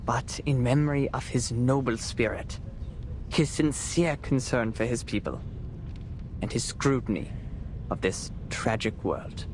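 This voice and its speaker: A man's voice: medium pitch, lively intonation, measured pace, noisy background, lightly acted. An actor playing a solemn, idealistic man giving a theatrical memorial speech in a grandiloquent, oratorical manner in a video game. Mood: impassioned, solemn. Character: solemn eulogist, an idealistic man mourning his friend.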